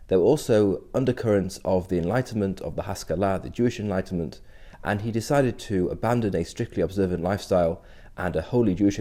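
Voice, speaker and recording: A middle-aged man, a rabbi, giving a short history talk in a measured, explanatory way. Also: fairly low pitch, dark tone.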